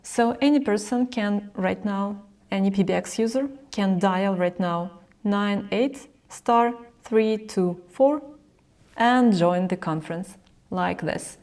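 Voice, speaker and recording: A woman presenting a software tutorial, explaining each step directly to the viewer as she narrates a screen recording. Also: medium pitch, slow.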